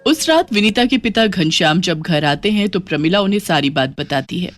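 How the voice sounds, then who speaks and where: A woman's voice: fairly low pitch, lively intonation, brisk. A woman reading scripted moral-story narration as a storyteller. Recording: music playing behind the voice.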